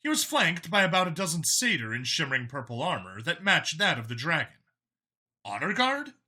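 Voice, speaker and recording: A male audiobook narrator delivers dramatic scripted fiction. His voice is very bright and tense, pitched a bit high for a man, with lively, animated intonation. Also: a little fast.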